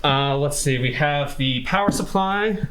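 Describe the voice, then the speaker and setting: A man casually explaining computer parts to a friend during a home build, relaxed and chuckling as he goes. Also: on the high side, a little slow, a little hiss or hum. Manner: laid-back, chuckles while listing parts.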